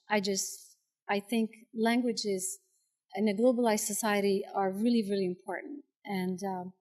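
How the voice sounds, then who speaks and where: A woman whose first language is Italian, a teacher giving an earnest, persuasive public comment at a school board meeting with some hesitations. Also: mid-range voice.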